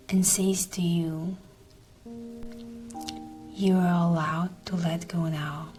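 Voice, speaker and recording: Slow, hushed woman guiding meditation over music. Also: lowish voice. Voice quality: soft, breathy, often near whisper. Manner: soothing, gentle, unhurried. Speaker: guided meditation host. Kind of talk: slow guided meditation narration.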